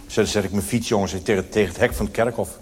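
A man voicing a slangy, aggrieved schoolboy in a comic cabaret monologue, halting and repeating the starts of words. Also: lowish voice, steady tone, in a large hall, voice pushed down, natural voice. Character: slangy schoolboy, hesitant and aggrieved, telling his story.

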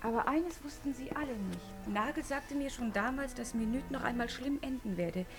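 An actress plays a thoughtful woman confiding in a friend in a radio play. Her voice sits fairly high, and music plays clearly behind her. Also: normal speed, lightly acted. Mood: pensive. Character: pensive woman confiding in a female companion.

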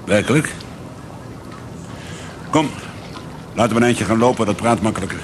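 A morally burdened police commissioner of middle age in a radio drama, played by a man. He questions in a terse, controlled way. Boat and vehicle noise sounds clearly behind him. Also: on the low side, moderate pace, close to an everyday voice. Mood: probing, controlled. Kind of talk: radio play acting.

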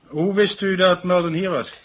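An actor playing a stubborn, skeptical, gruff police inspector who questions sternly in a 1970 radio drama. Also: male voice, high-pitched, steady tone, moderate pace, a bit bright. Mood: brisk, inquiring.

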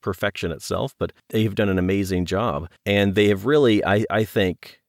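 Low-voiced man, thoughtful interview guest. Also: dry recording, studio quality. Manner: thoughtful, halting, circling back to rephrase. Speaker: guest, audio drama producer. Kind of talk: conversational interview commentary.

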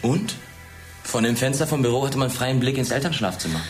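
An actor playing a cocky, mocking teenage schoolboy in a naturalistic radio drama, sarcastic and slangy. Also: male voice, mid-range voice, lively intonation, a little fast, faint music behind, close to an everyday voice. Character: cocky, mocking teenage schoolboy who provokes his classmates.

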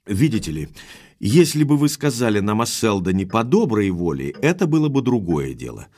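Expressive, blunt baronet, swooping pitch. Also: male voice, moderate pace. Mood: reproachful, firm. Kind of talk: audio drama acting.

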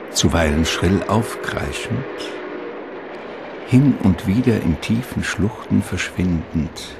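A man narrating a radio drama in a measured, atmospheric, literary manner. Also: sits low, heavy background noise.

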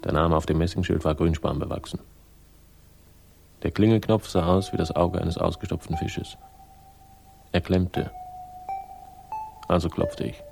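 An actor playing a dry, laconic hard-boiled investigator in a 1960s German radio crime drama, deadpan and sardonic. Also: male voice, low-pitched, a little slow, vocal fry, pauses often. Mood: dry, wry. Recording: 1960s studio radio play with music.

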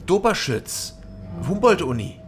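An actor playing an earnest, insistent man in radio drama dialogue, speaking in halting short phrases. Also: high-pitched, sing-song, faint music behind. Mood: urgent, pleading. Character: younger detective, earnest and insistent, greeting old acquaintance.

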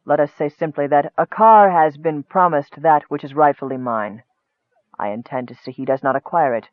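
A man voicing a frail, sickly, bitter dark wizard in a fantasy audiobook, softly rasping and mocking, on a muffled recording. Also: a little high, no room sound, pitched below the performer's own voice, close to an everyday voice. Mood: soft, ominous. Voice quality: soft, rasping, breathy. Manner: mocking, cryptic, measured.

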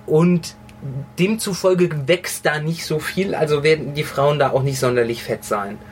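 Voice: mid-range voice, a little slow. A podcast co-host trading dry, matter-of-fact quips in a casual comedy chat.

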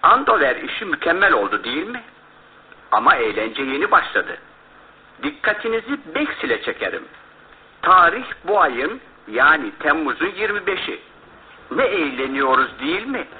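Gleeful, taunting serial killer, tinny sound. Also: male voice, on the high side, sing-song, measured pace. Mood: gleeful menace. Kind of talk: radio drama letter reading. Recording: tinny, noisy old radio drama recording.

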